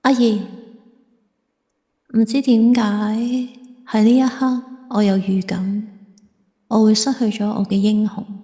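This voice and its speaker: A woman's voice: medium pitch, steady tone, takes it slowly, long pauses, dark tone, breathy edge, no room sound, studio quality. An actress playing a tender, devoted teenage schoolgirl in an audio drama, with a Hong Kong Cantonese accent. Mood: wistful.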